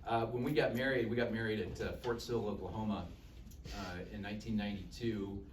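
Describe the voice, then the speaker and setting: A man in his fifties with a low voice gives a relaxed campaign talk, full of "uh"s and self-deprecating jokes. He sounds far from the mic in an echoey room, with steady noise underneath. He is from Dallas, Texas. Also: brisk. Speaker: political candidate. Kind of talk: campaign speech to a local group.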